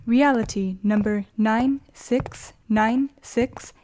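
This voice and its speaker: A woman playing a calm, formal artificial guide who narrates a simulation in an audio drama, measured and composed. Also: fairly high pitch, slight background noise. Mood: formal, apologetic.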